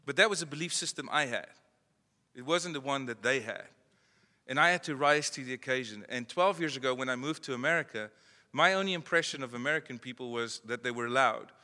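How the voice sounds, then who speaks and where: A man's voice: mid-range voice, brisk, bright, forceful tone. South African man speaking in a hall. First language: not English. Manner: conversational, self-deprecating, acts out phone calls. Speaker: keynote speaker. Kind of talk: motivational speech to an audience. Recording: echoey hall.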